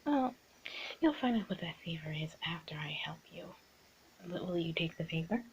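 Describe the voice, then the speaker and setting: A woman's voice: lowish voice, animated, normal speed, breathy edge, dull audio, exaggerated voice. A woman playing a teasing, bossy young art student in an improvised ASMR roleplay, often slipping into a half-whisper. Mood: sly teasing.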